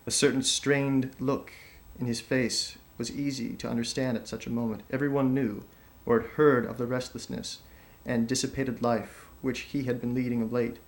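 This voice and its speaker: A man's voice: medium pitch, little pitch movement, normal speed, lightly acted. A man reading a passage of literary narration aloud as an audition piece. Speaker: actor auditioning.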